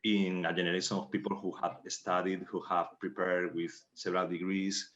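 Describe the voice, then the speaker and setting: A Spanish man with a Spanish accent, a playwright answering panel questions in halting second-language English. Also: low-pitched, level tone, fairly bright tone, dull audio. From Spain.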